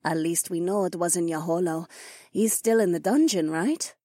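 A thoughtful young woman, voiced by a man speaks softly and reasons things out. Her voice is a little breathy. The recording is dry. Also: sits high, normal speed, dry recording, voice pushed up. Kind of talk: audiobook character voice.

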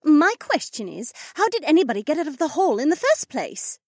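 A man voicing a brisk, no-nonsense woman in an audiobook, with a pointed, questioning manner. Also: very high-pitched, sing-song, no echo, clean studio sound, pitched above the performer's own voice, full character voice.

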